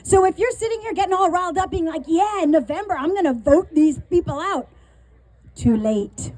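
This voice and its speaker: A woman giving an urgent, quick-paced appeal at an outdoor rally, drawing the crowd to answer back. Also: very high-pitched, animated, darkish tone, dry recording. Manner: urgent, quick, call-and-response. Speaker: rally speaker.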